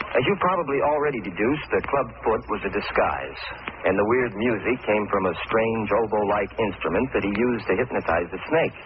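A man's voice: fairly low pitch, close to an everyday voice. An actor playing a chatty, self-satisfied condemned man narrating in folksy 1940s radio drama style. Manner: folksy, conversational. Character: chatty, self-satisfied condemned male murderer telling his tale. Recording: muffled, hissy old radio recording.

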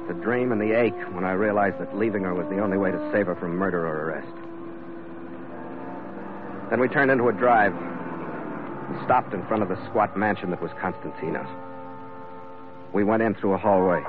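Man playing a low-voiced hard-boiled sea captain, tinny radio. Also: moderate pace, long pauses, natural voice. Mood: brooding. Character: tough, terse sea captain hero, lovesick narrator. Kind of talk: 1940s radio acting. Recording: tinny old radio, loud music behind.

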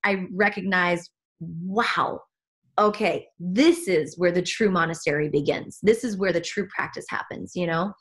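A woman's voice: fairly low pitch, a little slow, fairly bright tone. A woman from Switzerland, a spiritual teacher, talking earnestly about her path as a podcast guest, often restarting her sentences as she searches for words. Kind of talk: reflective podcast conversation.

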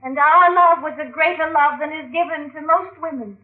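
A woman's voice: sits high, normal speed, natural voice. An actress playing a melodramatic, eager lady lecturer in old-time radio drama style, with a theatrical manner. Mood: lovelorn, declaiming. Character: peppy, melodramatic woman lecturing on her lost love. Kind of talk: 1940s-50s radio acting. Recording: old radio broadcast, tinny narrow band.

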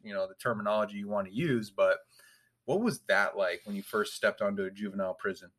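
A man with a fairly low voice runs a casual interview. He retells his guest's story and prompts him with questions, dropping in frequent short agreements. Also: fast, fairly bright tone. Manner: leading questions, frequent backchannel "yeah".

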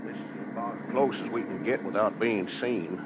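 A man plays a meek, glum sidekick in a lowish voice. He is hesitant and plain-spoken, in old radio comedy style on a muffled recording. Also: fairly quick, loud music behind the voice, lightly acted.

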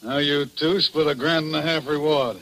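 A man's voice: fairly high pitch, fairly even intonation, normal speed, a bit bright, natural voice. A man with an American accent playing a grasping, mocking jailer, slangy and wheedling, in 1940s radio drama style. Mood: envious, needling. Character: needling, grasping male jailer, slangy and envious. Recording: noisy old 1940s radio recording.

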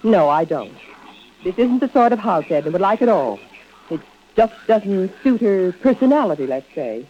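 A sharp-tongued, suspicious woman in a vintage radio play acted by a woman. Her pitch swings widely as she makes arch, pointed challenges. The recording is dull and aged. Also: sing-song, normal speed, slight background noise, natural voice. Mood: uneasy, arch. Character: suspicious, blunt woman, loyal friend of wife. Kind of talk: old-time radio drama acting.

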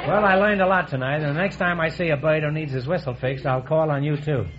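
Higher-voiced man, quiz host, wisecracking banter, dull hissy old radio broadcast. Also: over a noisy crowd. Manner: wisecracking, quick puns. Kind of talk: joking quiz show banter.

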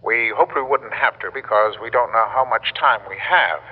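An actor playing an earnest, insistent official in a radio drama, speaking formally and urgently on a dull, reverberant old recording. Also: male voice, mid-range voice, moderate pace, slightly rough, lightly acted. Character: earnest, insistent male official calling from the future. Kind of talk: classic radio drama acting.

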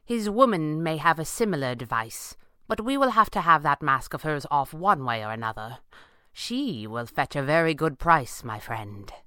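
A man's voice: a little high, big pitch swings, moderate pace, bright, forceful tone, clear, resonant tone, no room sound, studio quality, exaggerated voice. A man voicing a greedy, cruel villain in a pulp adventure audiobook, speaking with menacing mock courtesy. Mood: smoothly menacing. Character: oily, greedy male villain, smooth-talking, cruel mercenary.

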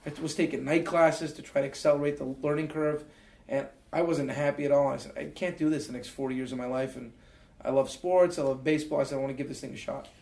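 A man's voice: a little high, steady tone, quick pace, gravelly, a little mellow. A Canadian man in his forties, an interview guest telling personal stories in an earnest, reflective way, with many fillers and restarts. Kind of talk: reflective storytelling. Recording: roomy echo.

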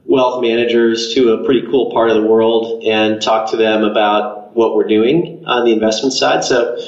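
A man's voice: lowish voice, steady tone, moderate pace. A man, a company co-founder, answering as a guest in a casual interview, hesitating often. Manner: hesitant, many uh and um. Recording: echoey, dull remote line.

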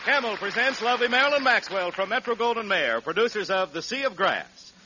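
A man's voice: sits high, expressive, a little fast, very noisy. A man announcing in the style of a 1940s radio show. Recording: dull old radio broadcast.

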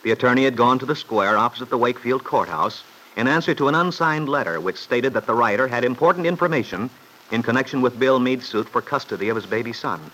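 A man narrates a vintage radio drama in a bright, forceful voice. His scripted storytelling sits at a middle pitch, on an old broadcast recording with faint noise. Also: animated, quick pace, rough recording.

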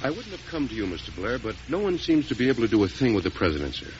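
A man's voice: medium pitch, big pitch swings, racing, over loud music, close to an everyday voice. A man playing a deferential, worried presidential aide in an old-time radio drama, speaking anxiously. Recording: hissy, muffled vintage radio recording.

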